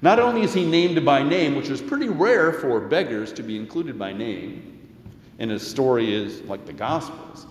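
Male preacher, mid-pitched, conversational sermon delivery with rhetorical questions, strong room echo. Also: expressive, normal speed. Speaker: pastor. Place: reverberant church during a service. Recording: echoey church with long reverb.

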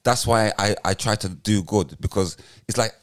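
A man's voice: lowish voice, moderate pace. A man in his forties, co-hosting a podcast and musing earnestly in casual chat. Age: 40s. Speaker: podcast co-host, comedian.

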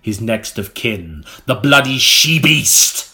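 A man narrating an audiobook in a theatrical, melodramatic style, with dramatic pauses. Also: medium pitch, lively intonation, sharp tone.

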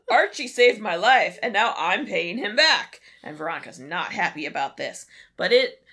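A woman co-hosting a show, casually recapping a story in an animated way and acting out bits of dialogue. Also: medium pitch, measured pace, husky. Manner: animated, acts out characters' lines in retelling. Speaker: podcast co-host. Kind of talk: casual episode recap chat.

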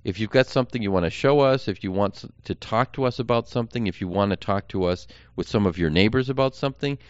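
Man, American accent, smooth clear voice, earnest explainer, candidate's podcast update, dry slightly dull recording. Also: fairly low pitch, fast, dry recording. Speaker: political candidate hosting a campaign podcast. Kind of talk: informal campaign update monologue.

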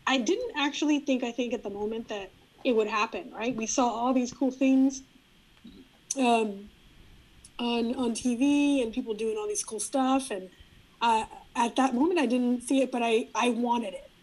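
A middle-aged woman whose first language is Spanish, talking as a guest in a relaxed, reflective interview, often restarting her sentences. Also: fairly high pitch, moderate pace, poor audio. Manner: reflective, frequent restarts and fillers. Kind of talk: casual interview conversation.

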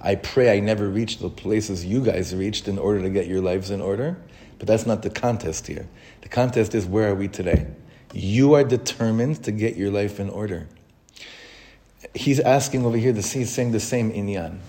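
Earnest rabbi teaching a class. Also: male voice, fairly low pitch, warm tone. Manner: earnest, self-questioning, repeats phrases for emphasis; reads Hebrew text quickly, then explains it. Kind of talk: informal Torah talk.

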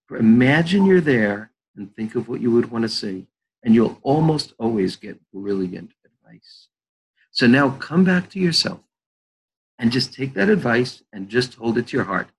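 An older man, a therapist, leading a slow guided visualization and then chatting about his practice. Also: mid-range voice, gravelly, husky, rough recording. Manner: slow soothing guided-meditation delivery.

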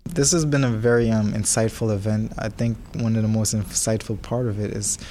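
A young man talks casually and enthusiastically, though his pitch stays fairly flat. Faint background noise sits under his voice. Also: brisk. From New York. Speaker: student. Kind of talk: interview answer.

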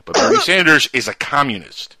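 A man from Cuba with a bright, forceful voice argues his opinions casually. His pitch moves around a lot and his delivery is blunt and emphatic, with some swearing. The sound is dry, with no room echo. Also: huge pitch swings, fairly quick, breathy edge.

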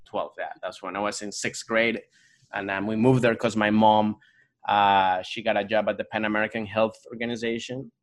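A man from Venezuela whose first language is Spanish, chatting as a podcast guest. His voice sits on the low side. He rambles, circles back and fills gaps with "uh" and "you know". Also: flat delivery, normal speed. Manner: rambling, many fillers and restarts. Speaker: guest comedian. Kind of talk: casual podcast chat.

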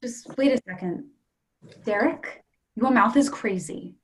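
Woman acting a high-voiced young girlfriend. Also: measured pace, natural voice, low-quality recording. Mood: exasperated. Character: affectionate, defensive young girlfriend trying to reassure her boyfriend. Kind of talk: readers theatre play reading, naturalistic dialogue.

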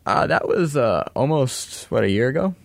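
A man's voice: medium pitch, lively intonation, moderate pace, vocal fry, no room sound. An 18-year-old man, a guest musician, answering interview questions hesitantly with many fillers in a radio studio. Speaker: guest musician, bass player.

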